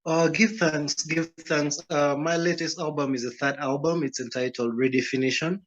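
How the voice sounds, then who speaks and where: A man, a guest musician answering interview questions in a calm, measured, unhurried way. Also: fairly high pitch. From Uganda, Rwandan roots. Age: 30s. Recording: dull lo-fi sound.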